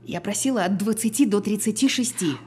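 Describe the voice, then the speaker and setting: A woman's voice: mid-range voice, brisk, darkish tone, husky. A woman acting in an audio drama as a weary, despairing, insistent caller who speaks bluntly and with resignation. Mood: insistent, impatient. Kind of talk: audio drama phone dialogue.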